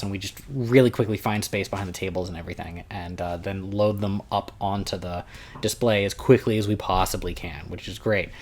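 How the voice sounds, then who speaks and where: A young man with a loud voice telling a long, rambling convention story at home, often restarting his sentences. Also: fairly low pitch. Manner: rambling, self-interrupting, chatty. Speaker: vlogger recounting a convention. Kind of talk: casual storytelling vlog.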